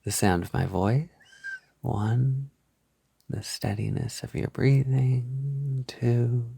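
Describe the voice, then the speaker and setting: A man leading a soft-spoken guided hypnosis, soothing and reassuring, gently repeating his phrases on a close mic. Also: mid-range voice, fairly even intonation, slow pace, gravelly, slightly rough, no room sound. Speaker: hypnosis audio creator guiding the listener.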